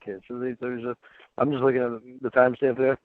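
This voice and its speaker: Man, reading chat aloud with asides, average pitch, thin phone-like sound, very dry. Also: level tone, racing, no room sound. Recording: tinny phone-like playback, very narrow band.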